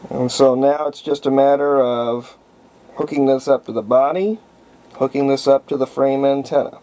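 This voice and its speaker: A man's voice: medium pitch, fairly even intonation, mellow. Man narrating a radio restoration. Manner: halting, thinking aloud with fillers. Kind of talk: casual workshop narration.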